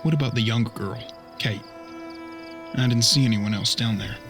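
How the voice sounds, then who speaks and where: A man's voice: mid-range voice, moderate pace, creaky, over music, close to an everyday voice. A man playing an earnest, thoughtful bounty hunter's apprentice in a western audio drama, with folksy frontier talk. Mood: wary.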